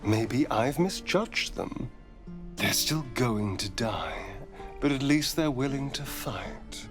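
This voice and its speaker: A man's voice: medium pitch, animated, slow, music in the background. A man playing a sardonic, vain elf rogue in a video game, with a wry, theatrical drawl. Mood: coolly appraising. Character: sardonic, vain male pale elf rogue with dry wit. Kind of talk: cinematic video game dialogue.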